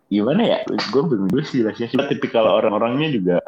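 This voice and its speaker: A young man from Bogor, a student, talking in a casual chat, halting and searching for words. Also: mid-range voice, expressive, darkish tone. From Bogor, Indonesia. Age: young adult. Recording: dull lo-fi sound.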